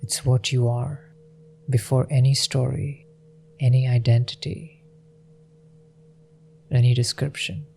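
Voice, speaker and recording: A man leading a guided meditation in a gentle, unhurried manner with long pauses. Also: mid-range voice, flat delivery, gravelly, breathy edge.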